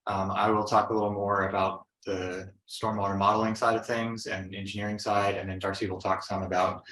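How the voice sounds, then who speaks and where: A man presents at a conference in a low, flat voice with a bright edge. He explains plainly, with fillers along the way. The room adds a clear echo. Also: a little fast. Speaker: presenter, engineering consultant.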